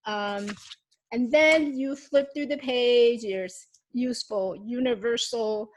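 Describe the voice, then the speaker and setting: A woman's voice: a little high, slow, slight background noise, rough recording. A woman in her thirties whose first language is Mandarin Chinese, talking casually in English to her channel's viewers, with frequent ums and restarts as she shows her notebooks. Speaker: YouTube host. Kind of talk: casual vlog talk.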